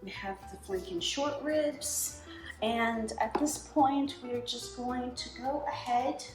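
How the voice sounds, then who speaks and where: A woman hosts her home cooking show in an unhurried, chatty way, with a slightly high voice. She fills her talk with asides and filler words as she cooks. The kitchen sounds echoey, and faint music plays underneath. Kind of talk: casual home cooking demonstration.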